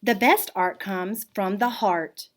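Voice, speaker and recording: A woman reading a children's picture book aloud in an expressive, deliberate storytelling manner. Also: mid-range voice, slight background noise. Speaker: art teacher reading aloud.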